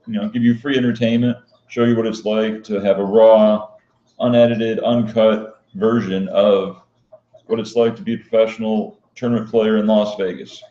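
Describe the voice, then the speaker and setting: A man chatting casually as a live-stream host, answering viewers in a monotone, flat and matter-of-fact manner. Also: medium pitch. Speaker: professional poker player and vlogger hosting a live stream. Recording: dull, lo-fi sound in echoey room.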